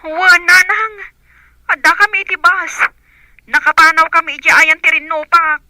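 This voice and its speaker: A woman's voice: very high voice, flat delivery, moderate pace, bright, forceful tone, dry recording, exaggerated voice, rough recording. A woman playing a distressed grown daughter in a radio drama, with an Ilocano accent. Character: distressed grown daughter calling her mother from abroad.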